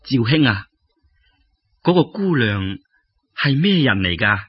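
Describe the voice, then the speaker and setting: Man voicing a shy, husky young scholar. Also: medium pitch, big pitch swings, slow, no echo, highs cut off, exaggerated voice. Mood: intrigued. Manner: hesitant, humble, courteous. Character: shy, honest, polite young male scholar.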